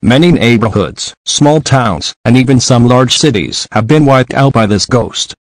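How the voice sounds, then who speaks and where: Flat monotone male alert reader. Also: mid-range voice, a little slow, no echo, poor audio. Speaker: emergency alert announcer. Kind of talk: scripted emergency broadcast reading.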